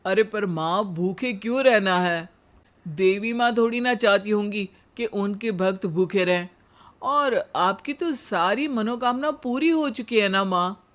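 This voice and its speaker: A man acts out a grown son in a comic cartoon tale. His pitch is very high for a man. He sounds gentle, reasonable and a little exasperated. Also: measured pace. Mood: questioning. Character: caring, reasonable adult son. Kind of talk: comic animated moral story acting.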